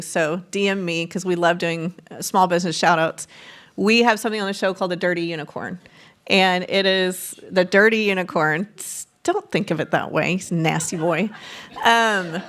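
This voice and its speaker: A woman's voice: medium pitch, a bit bright. Chatty woman moderating a live panel. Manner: informal, chatty, playful. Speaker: panel moderator, show host. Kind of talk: casual live event moderating.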